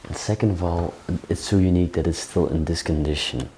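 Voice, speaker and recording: A young man casually chatting while exploring an abandoned castle, relaxed and full of questions. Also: low voice, fairly quick, a little hiss or hum. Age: young adult. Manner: relaxed, agreeable, asks questions. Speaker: urban explorer co-host. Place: large abandoned castle indoors.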